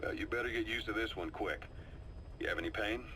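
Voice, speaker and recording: A man playing a seasoned, calm and knowing military adviser in a video game, briefing his commander in a measured, confiding storytelling delivery. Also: fairly low pitch, background noise, lightly acted. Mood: encouraging, practical. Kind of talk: video game voice acting, dramatic briefing monologue. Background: music.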